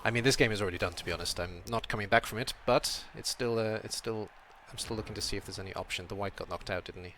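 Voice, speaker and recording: A young British man from Wales with a slight European tilt to his accent, chatting casually while he streams a game, reading chat and thinking out loud. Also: on the low side, quick pace, few pauses, slight background noise. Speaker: streamer playing a video game. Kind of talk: casual live-stream commentary.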